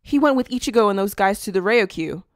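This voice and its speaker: A woman's voice: mid-range voice, lively intonation, fast talker, no echo, studio quality, natural voice. A woman playing a brash, proud, casually blunt woman in an anime fan drama, with a teasing manner.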